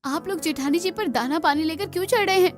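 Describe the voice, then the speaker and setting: A poor young cartoon daughter-in-law played by a woman with a very high voice. She is earnest, polite and determined, often pleading. Soft music sits behind her. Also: fast. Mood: indignant.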